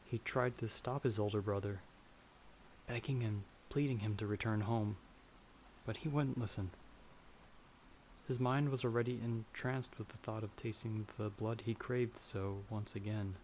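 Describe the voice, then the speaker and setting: A man's voice: on the low side, steady tone, unhurried, darkish tone, a little hiss or hum, lightly acted. A man playing a timid, polite young farmer in an ASMR fantasy roleplay, speaking hesitantly and a little formally. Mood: uneasy storytelling. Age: young adult. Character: timid, polite young male farmer traveler, easily frightened. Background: stormy night ambience.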